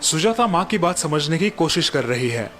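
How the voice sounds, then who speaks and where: A man's voice: on the high side, normal speed, faint music behind. A man narrating a village moral story in a scripted storytelling style. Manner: even, explanatory narration.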